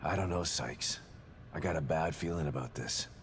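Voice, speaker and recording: Very low, weary grim detective. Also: male voice, moderate pace, creaky, a little hiss or hum. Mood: doubtful. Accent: American. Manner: brooding, skeptical. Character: weary, grim, guarded male detective father. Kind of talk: video game cutscene acting.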